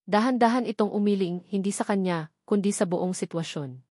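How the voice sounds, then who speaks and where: A woman narrating a scripted romantic drama with emotive, deliberate phrasing. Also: medium pitch, darkish tone, slightly rough, no room sound, studio quality.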